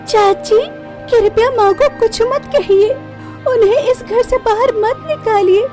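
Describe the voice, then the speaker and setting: Woman acting a very high meek pleading girl. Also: takes it slowly, a little mellow. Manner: pleading, polite. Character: meek wronged young daughter, dutiful and pleading. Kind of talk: melodramatic moral-story cartoon acting. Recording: music behind the voice.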